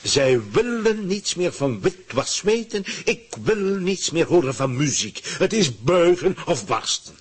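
A man's voice: fairly high pitch, very animated, unhurried, few pauses, breathy edge, full character voice. A man playing a pompous, scheming government ruler in a satirical radio play, dictating orders imperiously with blustering hesitations.

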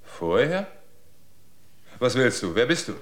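An actor playing a gruff, suspicious householder in a radio drama, interrogating in a brusque, demanding way. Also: male voice, medium pitch, very animated, slow pace. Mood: wary, insistent. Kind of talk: German radio drama dialogue. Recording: echoey room.

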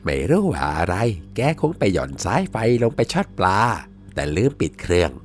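A man's voice: deep voice, huge pitch swings, moderate pace, soft background music. A man voicing an old village grandmother who explains a haunting in a matter-of-fact way, within a told ghost story.

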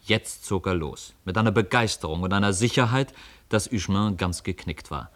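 Tiefer männlicher Hörspiel-Erzähler. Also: male voice, low-pitched, moderate pace, fairly bright tone. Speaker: narrator. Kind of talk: scripted storytelling narration for radio drama.

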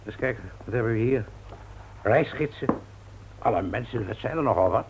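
A man's voice: mid-range voice, lively intonation, moderate pace, vocal fry, noisy background. An actor playing a stubborn, fussy shopkeeper in his seventies in a Dutch radio play, hesitant yet bossy. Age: 70s. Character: stubborn, fussy old bookshop owner, an uncle.

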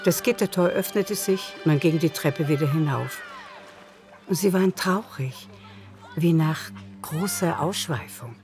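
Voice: mid-range voice, unhurried. Narrator for a radio drama, literary storytelling, music playing behind.

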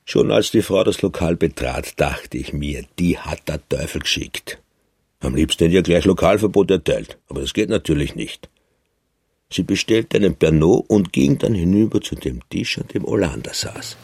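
An actor playing a wary, grumbling old innkeeper in a radio drama, unhurried and dry in delivery. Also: male voice, low-pitched, expressive, vocal fry, darkish tone, breathy edge, dry recording, heavily acted. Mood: dry, disapproving. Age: older. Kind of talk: radio drama, first-person narration and dialogue.

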